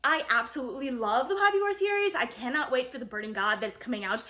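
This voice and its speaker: High-pitched, enthusiastic woman chatting casually. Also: lively intonation, quick pace, pushed and bright. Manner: enthusiastic, gushing, frequent "really" and "like". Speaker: book reviewer and vlogger. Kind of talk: casual chatty book haul talk to viewers.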